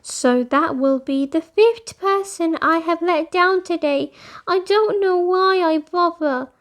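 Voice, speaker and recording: A woman's voice: extremely high pitch, slow pace, a bit bright, voice pushed up, full character voice. A woman voicing an eager, easily frustrated little girl bear in a children's storybook, exclaiming earnestly.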